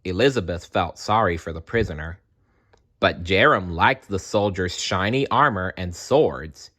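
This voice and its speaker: This is a children's read-aloud narrator with a low-pitched voice. The delivery is slow and very animated, with dramatic pauses and playful asides to the listeners. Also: husky. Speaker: storyteller reading aloud.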